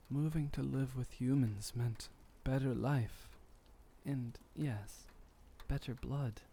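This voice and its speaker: Guy doing a centuries old vampire boyfriend for asmr, mellow n gentle, really unhurried, doting, kinda formal. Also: mid-range voice, slight background noise. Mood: wistful, soothing. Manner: soft, unhurried, tender, lightly formal old-fashioned phrasing. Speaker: voice actor in ASMR roleplay. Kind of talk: intimate ASMR comfort roleplay.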